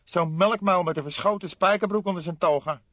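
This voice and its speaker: High-pitched excitable scrawny café owner. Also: male voice, fairly quick, no room sound. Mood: indignant. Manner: excitable, emphatic street slang. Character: scrawny indignant small-time underworld café owner, male. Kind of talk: audiobook character acting. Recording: slightly dull, dry studio recording.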